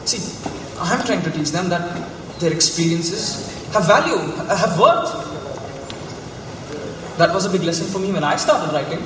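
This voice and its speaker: A male stage actor plays an earnest young teaching assistant, explaining haltingly in a fairly high voice. He is flustered and careful. The recording is distant, in a reverberant hall with noise over it. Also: lively intonation. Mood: troubled, defensive. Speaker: stage actor in a college play. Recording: roomy hall from far off, loud hiss.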